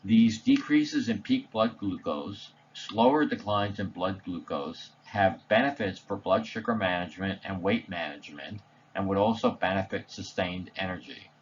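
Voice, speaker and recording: A man reading a scripted slide presentation voice-over in a measured, formal, technical manner. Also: low-pitched, highs cut off, a little hiss or hum. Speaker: presenter narrating study results.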